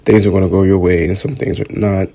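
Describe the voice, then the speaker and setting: A man's voice: fairly even intonation, quick pace, dark tone. A young man in his twenties talking casually to camera, congested from a cold, reflecting on student life. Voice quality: husky, low gravelly voice. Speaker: vlogger, dental student.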